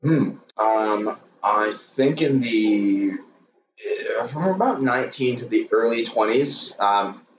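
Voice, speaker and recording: A man in his twenties from Hong Kong, a podcast guest talking haltingly with many restarts and jokes. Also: mid-range voice, lively intonation, slight background noise. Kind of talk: casual interview chat. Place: home room with a dog. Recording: roomy, distant, dull sound.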